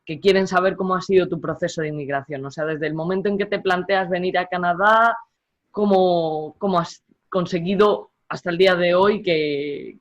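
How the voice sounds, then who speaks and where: A woman's voice: fairly low pitch, highs cut off. A woman hosting an interview, politely asking long, winding questions and thanking her guest. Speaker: interview host.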